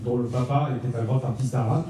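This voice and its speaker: A man in the audience puts a question to the panel, speaking quickly and earnestly. His voice is dark and low-key. It reaches the mic from far off in a very echoey room. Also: steady tone, a little hiss or hum. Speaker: audience member asking a question. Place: gallery room.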